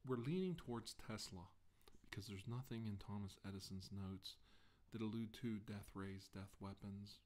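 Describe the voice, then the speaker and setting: A middle-aged man playing a solemn doctor giving testimony about his alien abduction in an ASMR roleplay, halting and deliberate with long pauses. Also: on the low side, a little mellow, close to an everyday voice. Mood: grave, measured. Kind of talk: ASMR roleplay, slow deposition monologue. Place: indoor room, seated at a table. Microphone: microphone on the table close in front.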